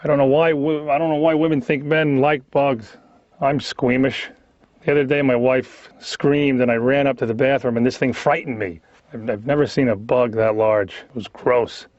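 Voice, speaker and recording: A man's voice: medium pitch, fairly even intonation, normal speed, darkish tone, no echo, lightly acted. A man playing a squeamish, exasperated husband venting in cartoon therapy, in a comic storytelling style with acted-out asides. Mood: bemused. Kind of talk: stand-up routine as cartoon dialogue. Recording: dull, lo-fi audio.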